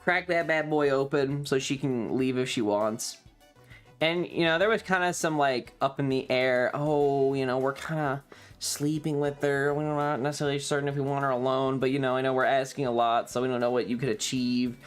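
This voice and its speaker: A man streamer telling a casual, rambling story in a halting, wry way with some swearing. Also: medium pitch, steady tone, faint music behind. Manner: frequent throat clearing.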